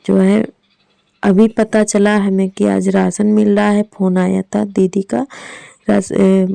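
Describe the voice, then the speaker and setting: Hoarse woman vlogger in her thirties, Hindi accent, gravelly, flat delivery, dry close sound. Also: medium pitch, slow, no echo. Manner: rambling, with many fillers. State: hoarse from a sore throat. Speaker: village vlogger narrating her day. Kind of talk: casual voice-over narration.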